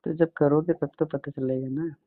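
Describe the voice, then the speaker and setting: A man's voice: a little high, steady tone, normal speed, no room sound. Coaxing man on a tinny phone line. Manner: coaxing, teasing. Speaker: boyfriend on a phone call. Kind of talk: casual intimate phone chat. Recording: tinny, band-limited phone call recording.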